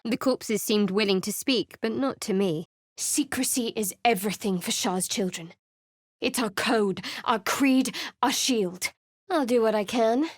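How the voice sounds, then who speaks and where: An actress voicing a guarded, secretive young woman cleric in a game, speaking in a measured, dry, cool manner. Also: fairly high pitch, no echo. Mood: solemn. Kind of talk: game voice acting. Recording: dry studio recording.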